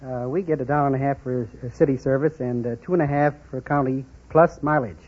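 A man's voice: medium pitch, fairly even intonation, fast talker. A man giving brief, plain, factual answers as a contestant on an old radio quiz show. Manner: plain, matter-of-fact. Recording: muffled old radio recording.

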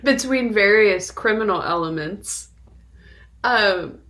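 A woman's voice: mid-range voice, expressive, takes it slowly, a bit bright. A woman co-hosting a podcast, talking casually and reflectively about a film in an informal way. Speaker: podcast co-host. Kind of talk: casual conversational film discussion.

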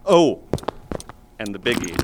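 Over-eager, folksy small-town welcomer for audio drama; animated, chatty delivery, faint background noise. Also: male voice, fairly low pitch, slow pace. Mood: eager, chipper. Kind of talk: naturalistic audio drama acting.

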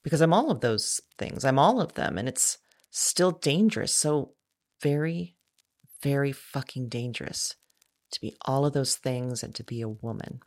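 A woman acting a frightened outcast in an intimate, halting audio drama monologue, confessing and repeating herself. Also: extremely low pitch, lively intonation, a little mellow. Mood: afraid, bitter. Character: frightened, self-declared old, mad, broken woman, awed dreamer. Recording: music behind the voice. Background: music.

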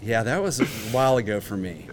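A man's voice: fairly low pitch, big pitch swings, brisk, a little hiss or hum. A man in his forties, a guest in a casual interview, talking earnestly with many restarts. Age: 40s. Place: outdoor conference grounds.

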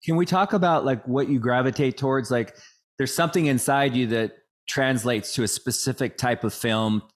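A man's voice: medium pitch, normal speed. A male podcast host asking his guest loose, searching questions, often rephrasing as he goes. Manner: rambling, searching questions full of "I mean" and "like". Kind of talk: casual interview questions.

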